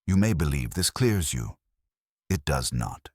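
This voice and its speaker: A stern, imperious detective who rules a room from his chair, as a male narrator puts him on. The voice is very deep, yet it rises and falls with lively, deliberate emphasis. Every word lands cold and precise in a close, dry sound. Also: no echo, heavily acted.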